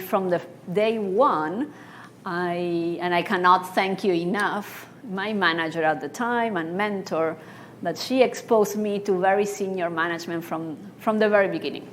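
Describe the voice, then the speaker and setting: A woman's voice: mid-range voice, a little hiss or hum. A middle-aged woman from Argentina, a senior treasurer, speaking earnestly about her career on a conference panel, with restarts. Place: conference stage.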